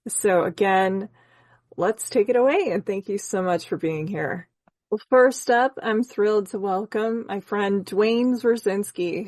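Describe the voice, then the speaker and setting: A woman's voice: mid-range voice, a little mellow, dry recording. A woman in her forties hosting a film podcast, candid and chatty, laughing often. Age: 40s. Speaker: podcast host. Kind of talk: casual film podcast chat.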